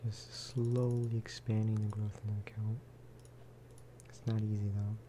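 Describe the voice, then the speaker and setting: A man speaking softly in a low-key, rambling ASMR style as he chats over gameplay. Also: little pitch movement, measured pace, a little hiss or hum. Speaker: gaming video creator. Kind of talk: soft-spoken ASMR gameplay chat.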